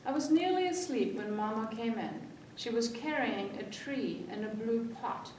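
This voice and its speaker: Female children's storyteller, expressive read-aloud, heard at a distance in a very reverberant room. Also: moderate pace. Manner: expressive, acts out the dialogue. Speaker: library story-time reader. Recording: echoey room, distant mic, faint rumbly noise.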